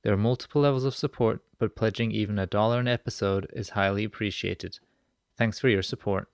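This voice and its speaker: A man's voice: on the low side, a little fast, vocal fry, no room sound, clean studio sound. A man hosting a podcast, presenting and interviewing. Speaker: podcast host. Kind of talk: scripted podcast introduction and interview.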